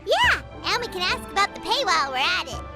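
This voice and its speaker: A woman voicing a tiny, perky, childlike fairy companion in a video game, excitable and fond of money. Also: a little fast, full character voice. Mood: curious. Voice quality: squeaky, childlike. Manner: perky, speaks of self in third person. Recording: music playing behind the voice.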